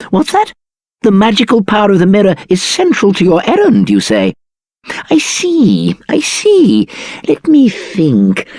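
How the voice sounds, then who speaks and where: A man's voice: high voice, no room sound, studio quality, exaggerated voice. A man playing a polite, scholarly royal magic expert in a video game, musing in formal phrases.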